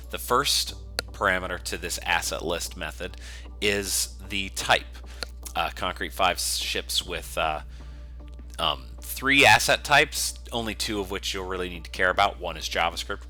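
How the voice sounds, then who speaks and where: Deep, bright-voiced man teaching code. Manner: explanatory, step by step, informal. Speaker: software instructor, developer. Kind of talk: screencast coding tutorial, semi-improvised walkthrough.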